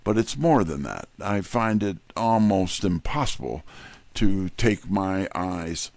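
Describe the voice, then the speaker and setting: A man's voice: low-pitched, animated, slow pace, vocal fry, darkish tone, dry recording, heavily acted. A man playing a wry, candid detective's sidekick in first-person scripted narration. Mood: earnest.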